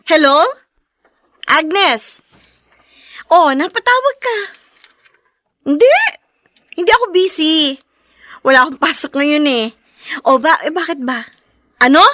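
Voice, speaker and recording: An actress playing a concerned, excitable young friend in a Tagalog radio drama, with a Filipino accent. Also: female voice, sits high, takes it slowly, long pauses, dry recording, exaggerated voice. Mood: surprised.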